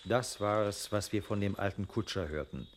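A man's voice: fairly low pitch, normal speed, a little hiss or hum, lightly acted. An actor as a reflective narrator in a German radio play, musing and questioning as he tells the story.

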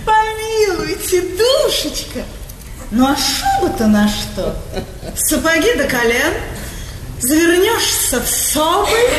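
A vain princess of 1800 in a stage comedy, very high-voiced and gushing. She speaks with affected, theatrical rapture and drops French endearments. The sound rings in a reverberant hall with faint hiss. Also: sing-song, takes it slowly, heavily acted. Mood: breezy, insistent. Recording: echoey theatre hall, noisy 1980s recording.

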